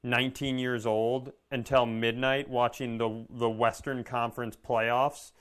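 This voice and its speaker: A man's voice: medium pitch, level tone, unhurried, a bit bright, clean tone. A man co-hosting a comedy podcast, telling rambling, wry stories in casual chat.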